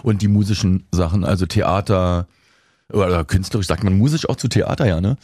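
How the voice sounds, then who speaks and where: Low-voiced man chatting casually. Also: brisk, warm tone, slightly rough, no echo, clean studio sound. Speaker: presenter. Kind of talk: casual chat.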